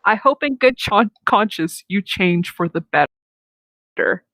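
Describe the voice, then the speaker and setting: A woman's voice: mid-range voice, expressive, slow pace, fairly bright tone, no room sound, low-quality recording. A woman reading reviews aloud in an informal, casual way, stumbling now and then and correcting herself.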